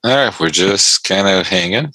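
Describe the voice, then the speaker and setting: A man from Chicago hosting a trading live stream, chatting casually with viewers and laughing often in a quiet room. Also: deep voice, lively intonation, moderate pace, no room sound. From Chicago North Side. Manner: laid-back, laughs often, reads and answers chat.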